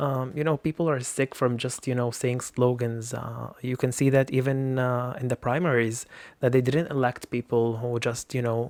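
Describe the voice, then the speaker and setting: A man's voice: mid-range voice, steady tone, brisk, darkish tone, no room sound. A man from Lebanon, a podcast guest, speaking in a hesitant way with many fillers. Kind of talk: casual interview talk.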